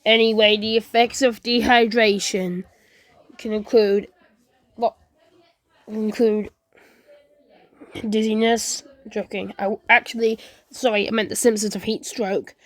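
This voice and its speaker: A woman's voice: mid-range voice, flat delivery, unhurried, long pauses, dry recording. A woman giving an improvised amateur disaster broadcast, rambling along, stumbling, repeating phrases and correcting herself. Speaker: creator and performer of a fan emergency-alert scenario.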